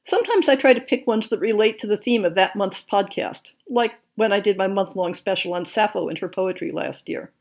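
A woman hosting a podcast, reading a scripted monthly update in a measured, enthusiastic way. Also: medium pitch, a little mellow. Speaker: podcast host.

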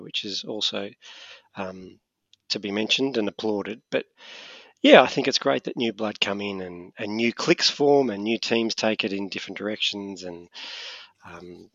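A man's voice: on the low side, fairly bright tone, dry recording, lo-fi sound. A man co-hosting a podcast, affirming and enthusiastic in casual chat. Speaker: podcast co-host.

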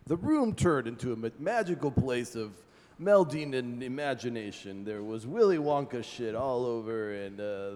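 A man playing the narrator of a comic radio play, improvising the story in pieces with pauses between phrases. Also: medium pitch, animated, a little mellow, natural voice. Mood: wry storytelling. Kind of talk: improvised mock-dramatic narration. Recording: echoey hall-like reverb.

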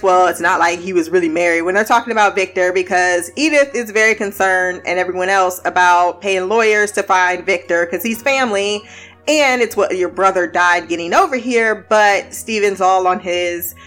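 A woman's voice: medium pitch, a bit bright. A woman hosting a casual TV review podcast, blunt, laughing often and swearing freely. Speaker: podcast host.

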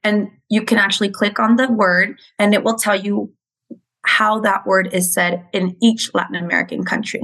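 A woman teacher talks earnestly in an interview, walking through how a reading program works. She grew up in Mexico. Her pitch sits in the middle range. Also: level tone, normal speed, fairly bright tone, rough recording. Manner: earnest, explanatory. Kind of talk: interview conversation.

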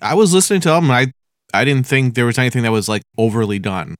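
A man hosting a relaxed interview with a band, in a smooth, clear voice at an ordinary pitch. He asks loose, encouraging follow-up questions in a plain conversational way. The sound is dry and close. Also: very fast, no echo. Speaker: interview host.

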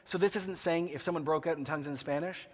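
Animated preacher in a reverberant auditorium. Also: male voice, fairly high pitch, fast talker. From Ecuador. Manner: animated, conversational preaching with acted asides. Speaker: pastor. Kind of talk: sermon. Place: large auditorium. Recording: long hall reverb.

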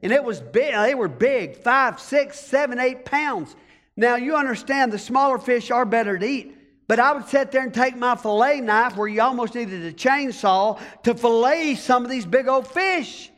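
A man's voice: very high-pitched, animated, normal speed, a bit bright, long reverb tail. A male pastor with an American country accent, preaching a folksy sermon and calling on the congregation to answer back. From rural United States. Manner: folksy, storytelling preacher asking the congregation to respond.

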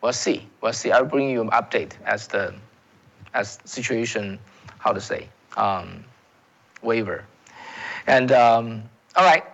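A man's voice: mid-range voice, takes it slowly, creaky, long pauses, a bit bright, muffled recording. A man from China, a native Chinese speaker with a Chinese accent, hosting solo political commentary. He talks emphatically, often repeating words and restarting phrases, and calls his viewers "folks.".

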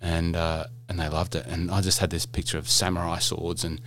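A man's voice: low voice, level tone, brisk, fairly bright tone. A middle-aged man hosting a casual podcast interview, chatty and jokey, rambling with frequent fillers and restarts. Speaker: podcast host.

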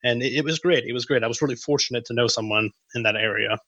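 A man's voice: medium pitch, fast, sharp tone, dry recording, lo-fi sound. A man in his twenties from Tennessee, a guest on a radio talk show, chatty and rambling with frequent "you know" fillers. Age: 20s. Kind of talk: casual conversation.

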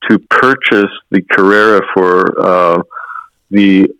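A man's voice: deep voice, flat delivery, slow, fairly bright tone, smooth, no echo, dull audio. A sixty-year-old man telling anecdotes about his life as a guest in a conversational interview. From born in United States, moved to Germany as a child.

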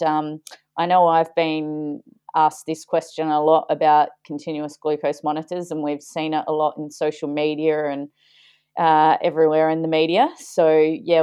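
A woman's voice: sits low, dark tone, smooth, no room sound, studio quality. A woman co-hosting a sports nutrition podcast, chatting casually and laughing often. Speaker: podcast co-host.

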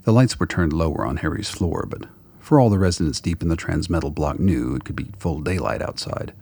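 A man with a gravelly voice reading scripted dramatic story narration. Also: extremely low pitch, darkish tone.

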